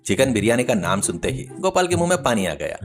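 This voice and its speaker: A man's voice: mid-range voice, big pitch swings, quick pace. Male storyteller with music behind him. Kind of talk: scripted children's story narration.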